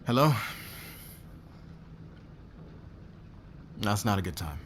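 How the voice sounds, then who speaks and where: A demanding male boss played by a man in an intimate ASMR roleplay, speaking in a low register over noticeable background noise. He is commanding and possessive, flirting smugly while keeping his guard up. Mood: subdued, evasive. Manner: commanding, smug, intimate, swearing.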